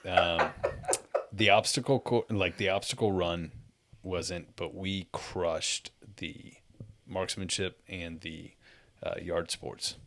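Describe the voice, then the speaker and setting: A man's voice: sits low, fairly even intonation, takes it slowly, a bit bright, a little hiss or hum. A man co-hosting a casual interview, eager and affirming. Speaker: podcast co-host.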